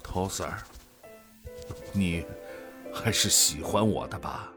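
An actor playing a weary, guilt-ridden older male commander in a radio drama, brooding and deliberate with sighs. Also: low voice, loud music behind the voice. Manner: brooding, deliberate, sighing soliloquy.

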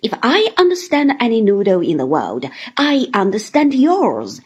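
A woman voicing a sly, volatile little woman hairdresser who rattles on with teasing exclamations, in a breathy voice. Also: fairly high pitch, huge pitch swings, moderate pace, exaggerated voice.

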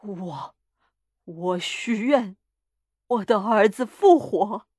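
A woman's voice: on the high side, animated, a little slow, husky, dry recording, clean studio sound. A woman voicing a timid, bewildered old husband who speaks hesitantly with a stammering tremble in a storybook reading. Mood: fearful.

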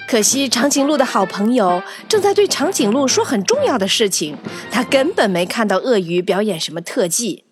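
A woman narrating a children's story in an expressive, animated scripted style, acting out the tale. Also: on the high side, moderate pace, hardly any pauses, over music.

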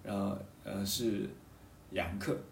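A man with a fairly low voice talks reflectively in an echoey room, set back from the mic with faint noise around him. He thinks aloud, halting and circling back over his phrases in casual conversation. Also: creaky, distant mic. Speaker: podcast guest talking about a hobby of seal carving. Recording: roomy echo, off-mic, faint background noise.

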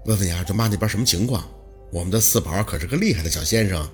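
A narrator plays a devoted young man from an audiobook drama, speaking in a low, gentle voice. He is calm and reassuring, with music behind him. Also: natural voice. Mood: light, teasing. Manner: unhurried, gentle, steady.

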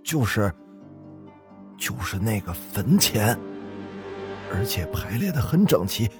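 A man's voice: on the low side, very animated, slow pace, music in the background. A man doing a hesitant, fearful household steward. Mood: frightened. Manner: hesitant, stammering. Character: nervous, deferential male steward in a haunted household.

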